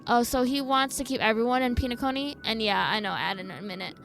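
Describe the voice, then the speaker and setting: A woman's voice: a little high, fast talker, fairly bright tone, slight background noise. A woman streaming a game, giving casual, informal reaction commentary as she thinks aloud in a skeptical way.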